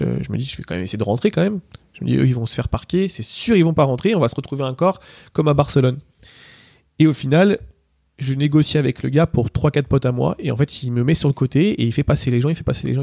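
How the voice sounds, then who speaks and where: A man telling long personal stories in a relaxed, casual way, with many fillers and restarts. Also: mid-range voice, gravelly, dry recording, studio quality. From Portugal. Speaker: interviewee, PSG ultra supporter. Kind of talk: casual spoken storytelling.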